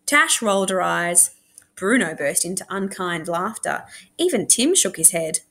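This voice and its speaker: Expressive woman reading a storybook aloud. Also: on the low side, unhurried. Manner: animated, acts out dialogue. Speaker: school librarian reading aloud.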